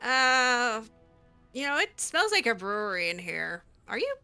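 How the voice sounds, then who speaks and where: A woman's voice: fairly high pitch, very animated, measured pace, sharp tone, clear, resonant tone, soft background music. A woman role-playing a video game heroine in improvised dialogue, with a dry, sardonic, hesitant delivery. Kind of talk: improvised in-character gameplay dialogue.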